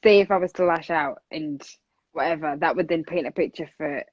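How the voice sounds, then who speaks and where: A loud, earnest woman in her twenties who talks in long, flowing runs on a podcast. The audio is dull and muffled, and the room sounds dry and close. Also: sits low, brisk, no room sound. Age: 20s. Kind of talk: casual conversation.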